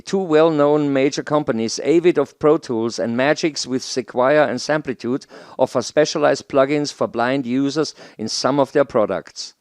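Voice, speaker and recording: A middle-aged man gives a formal, deliberate scripted presentation into a headset mic in a small studio. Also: mid-range voice, darkish tone, dry recording. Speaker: presenter, audio educator. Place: small home studio, indoors.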